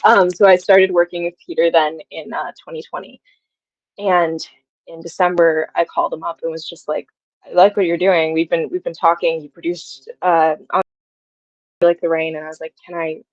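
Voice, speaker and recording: A young adult woman chats as an interview guest in an effusive, anecdotal way. Her voice is on the low side for a woman, and the recording is dry and a little dull. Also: flat delivery, normal speed, dry recording. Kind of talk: casual, anecdotal interview talk.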